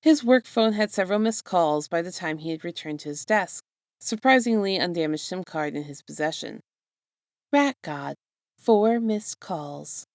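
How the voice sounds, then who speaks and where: A woman's voice: lowish voice, animated, normal speed, dry recording, studio quality. A woman narrating a piece of fan fiction, reading expressively from a script as a storyteller.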